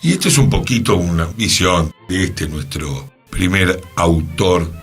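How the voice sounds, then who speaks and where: A man with an Argentine accent hosting a radio programme, explaining cultural history in a measured, conversational way. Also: low voice, expressive. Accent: Argentine, Rioplatense. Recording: music behind the voice. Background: music.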